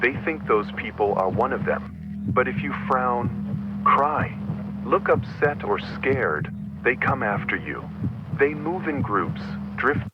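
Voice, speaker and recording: A man's voice: mid-range voice, lively intonation, slow pace. A man playing the urgent, commanding voice of an analog horror warning, speaking in short clipped sentences. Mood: grim warning. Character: urgent male voice of an emergency warning, grim, commanding. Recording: muffled radio-like lo-fi, music underneath.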